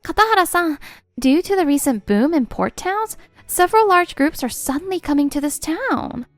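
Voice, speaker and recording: A woman playing a sincere, gentle young restaurant employee in a manga drama. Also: very high-pitched, animated, moderate pace, no echo. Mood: urgent. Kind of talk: manga voice acting. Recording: music behind the voice.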